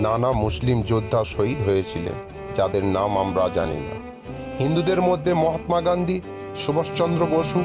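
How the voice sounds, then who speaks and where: A man's voice: a little high, natural voice. A man with an Indian Bengali accent playing an impassioned village schoolmaster giving an earnest public speech. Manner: oratorical, earnest. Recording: music with cello under the voice.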